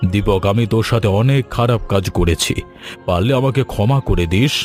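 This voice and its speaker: A man's voice: fairly low pitch, normal speed, a little mellow, soft background music, natural voice. A man voicing a village moneylender boss in a cartoon, talking bluntly and familiarly as if to an inferior. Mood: contrite. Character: haughty village moneylender boss, later humbled. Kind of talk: Bengali cartoon voice acting.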